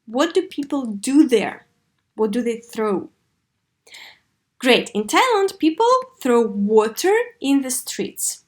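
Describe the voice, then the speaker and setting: A woman's voice: fairly high pitch, slow pace. A woman teaching an English video lesson to children, asking questions and modelling sentences expressively. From Russia. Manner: didactic, expressive model reading with clear questions. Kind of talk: video lesson for third graders.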